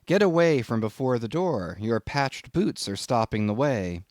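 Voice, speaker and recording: A man's voice: medium pitch, very animated, a little slow, a little mellow, dry recording, clean studio sound. A man voicing a wry, poor sailor who talks to himself in exclamatory, old-fashioned, self-mocking phrases for an audiobook. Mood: self-admonishing.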